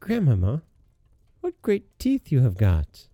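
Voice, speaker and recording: Man doing an innocent, high-lilting little girl. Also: huge pitch swings, takes it slowly, dark tone, no room sound, muffled recording, full character voice. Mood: amazed. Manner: artless, wondering.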